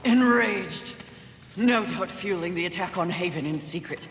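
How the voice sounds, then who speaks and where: A woman's voice: lowish voice, unhurried, natural voice. An actress playing a stern, resolute warrior horseman in a video game cutscene, speaking in a solemn, formal, declamatory way. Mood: urgent, persuasive. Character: stern, resolute female horseman warrior sworn to protect humanity. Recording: echoey hall reverb, faint background noise.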